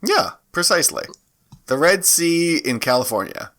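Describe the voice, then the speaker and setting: A man's voice: on the high side, sing-song, moderate pace, pushed and bright, slight background noise. A man co-hosting a podcast with casual comedic banter. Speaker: podcast co-host.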